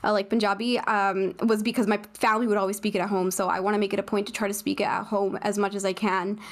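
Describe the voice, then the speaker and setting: A young woman from Canada chats as a podcast guest in a mid-pitched, everyday voice. She rambles, trailing through fillers and restarting sentences as she goes. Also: little pitch movement, fast. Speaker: guest streamer. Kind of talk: casual podcast chat.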